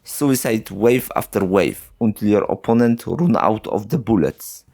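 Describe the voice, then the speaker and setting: A man's voice: lowish voice, animated, creaky, a little mellow, faint music behind. A man hosting a history video, English not his first language, narrating with wry, sarcastic asides. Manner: slow, wry, sarcastic, with short chuckles. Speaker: history channel host.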